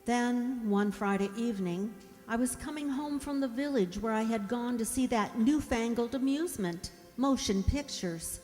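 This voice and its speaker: A woman in a radio drama playing a gentle, anxious young mute servant who voices her thoughts with breathy, wistful drama. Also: fairly high pitch, normal speed, faint music behind, lightly acted. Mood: haunted, wistful. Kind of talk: radio drama narration and inner monologue. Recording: echoey hall with long reverb.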